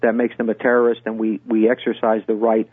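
An older man calls in as a radio guest, speaking in a measured way and often pausing on fillers and restarts. His voice comes through a tinny, narrow phone line with dry, close sound. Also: on the low side, monotone, breathy edge, dry recording. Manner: measured, many hesitations and restarts. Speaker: guest, former intelligence officer. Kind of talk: radio interview. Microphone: phone call.

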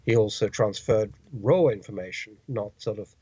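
Older British male journalist, halting delivery, lo-fi dull phone-in sound. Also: fairly low pitch, warm tone, husky, dry recording. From Britain. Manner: halting, searching for words with many uh's. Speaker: journalist guest. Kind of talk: interview over the phone. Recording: dull lo-fi line, dry.

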